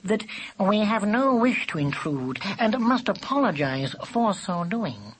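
A man voicing a haughty, silky gentleman Siamese cat, drawn-out words. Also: high-pitched, very animated, slight background noise, voice pushed up, exaggerated voice, rough recording. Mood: silky, polite. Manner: formal, precise, drawn-out delivery.

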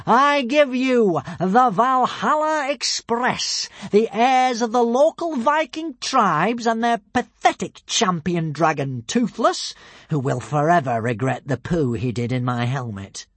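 Loud, high, gloating villain. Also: male voice, animated, takes it slowly, dry recording, exaggerated voice. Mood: mocking grandiose. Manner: pompous, projecting as if to a crowd. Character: treacherous villain announcer, gloating, theatrical, taunting. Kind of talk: audiobook character acting.

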